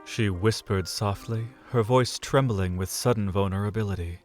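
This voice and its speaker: Low-voiced male storyteller. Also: faint music behind. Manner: measured, soft. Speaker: narrator. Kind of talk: scripted romantic narration.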